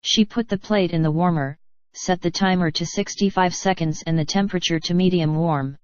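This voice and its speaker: A fairly low synthetic female voice narrates a scripted story. The phrasing is stilted, with an odd cadence. The audio is very dry and slightly dull. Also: moderate pace, no room sound. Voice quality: synthetic text-to-speech voice.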